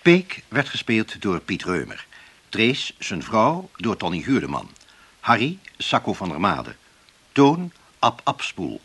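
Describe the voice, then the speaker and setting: A man's voice: fairly low pitch, huge pitch swings, slow pace, fairly bright tone, husky. A man reading scripted closing credits as a radio announcer.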